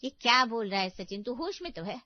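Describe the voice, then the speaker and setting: Woman as high-voiced fretful mother. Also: expressive, a little fast, no echo, dull audio, lightly acted. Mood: alarmed. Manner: exclaiming, fussing. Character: fretful middle-aged mother, protective and blunt.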